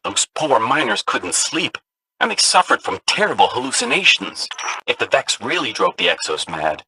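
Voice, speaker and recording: Jittery, excitable little robot storyteller. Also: male voice, medium pitch, normal speed, slight background noise, rough recording. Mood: spooky storytelling. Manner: theatrical, eager storytelling with jittery, swooping delivery. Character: small, excitable, bookish robot companion spirit, nervous lore collector. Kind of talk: video game character dialogue.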